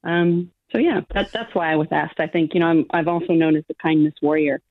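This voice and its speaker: A woman's voice: low voice, fairly quick. A woman guest, not from New Zealand, speaking confidently in a remote interview and laughing often. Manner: animated, laughs often, self-assured. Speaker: guest, show judge. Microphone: phone or video call line. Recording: thin phone-like line.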